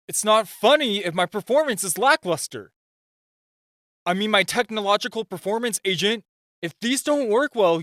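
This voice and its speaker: A man's voice: sits high, a bit bright, no echo. Man acting a shy, stammering gadget nerd, dry close sound. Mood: flustered, earnest. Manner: nervous, stammering, flustered. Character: super-shy, flustered nerdy male gadget inventor. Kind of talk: amateur roleplay audio acting.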